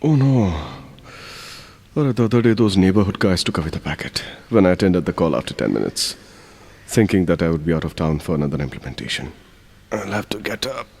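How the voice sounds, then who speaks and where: An actor with an Indian English accent playing a tired young software professional in an audio drama, mumbling sleepily to himself. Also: male voice, low-pitched, sing-song, brisk, vocal fry, husky, full character voice. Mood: sleepy. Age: young adult.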